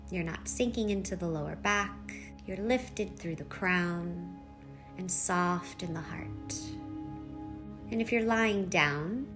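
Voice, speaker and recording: A woman leading a guided meditation, giving soft instructions in short phrases with long pauses between them. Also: medium pitch. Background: background music.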